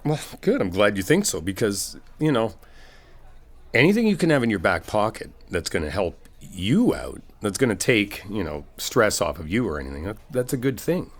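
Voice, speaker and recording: A man's voice: lowish voice, very animated, normal speed. A man playing a supportive, laid-back best friend in a casual comfort roleplay, talking in a reassuring, rambling, informal way. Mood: reassuring, earnest. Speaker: ASMR roleplay performer.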